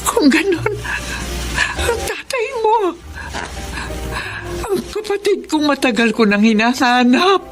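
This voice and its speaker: A woman's voice: high voice, expressive, slow pace, pauses often, over music. A Filipino woman acting in a radio drama as a kind, emotional middle-aged mother, tender and dramatic. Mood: shocked. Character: emotional kind mother reunited with long-lost brother.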